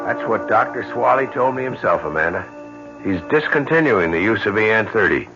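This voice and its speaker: A man's voice: fairly low pitch, lively intonation, fairly quick, lightly acted. A man acting in an old-time radio drama as a frank, sympathetic confidant. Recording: dull old radio recording, faint music.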